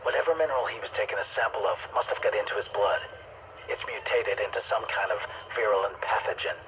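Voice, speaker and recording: A starship doctor dictates an official game audio log in a low, formal, clinical voice. The sound is dull and radio-filtered, with clear noise running through it. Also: male voice, steady tone, quick pace. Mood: grave, dread. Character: starship physician recording grave official medical logs.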